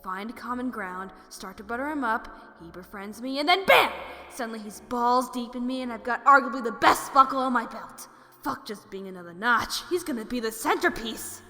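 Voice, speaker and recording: A woman's voice: a little high, expressive, normal speed, sharp tone, in a large hall. A woman voicing a brash, scheming, flirtatious pegasus adventurer in a fan fiction audio drama, with cocky, smug asides.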